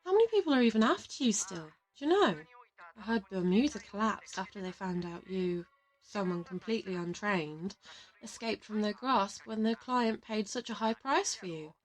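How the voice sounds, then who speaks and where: A woman's voice: medium pitch, animated, moderate pace, soft background music, natural voice, poor audio. Woman acting a worldly, chatty, bossy lady assassin. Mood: gentle, advising. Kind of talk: audio roleplay acting.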